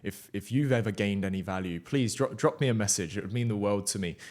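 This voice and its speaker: A man's voice: lowish voice, quick pace, darkish tone. Earnest man hosting a podcast. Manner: earnest and encouraging, with stammered restarts. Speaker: podcast host. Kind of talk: casual podcast interview.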